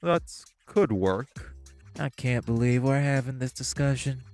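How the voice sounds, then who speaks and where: A man voicing hostile crime bosses in an audio roleplay drama, profane and confrontational, with soft music behind. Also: mid-range voice, a little slow, natural voice. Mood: sneering. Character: hostile male crime bosses, magic gangsters.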